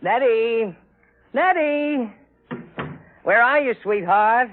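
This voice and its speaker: A male actor playing an excitable husband in a 1950s sci-fi radio drama, folksy and emphatic, on a muffled old broadcast recording. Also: extremely high pitch, very slow, loud music behind the voice, full character voice. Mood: affectionate, weary. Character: excitable husband in a sci-fi tale, affectionate, growing fearful.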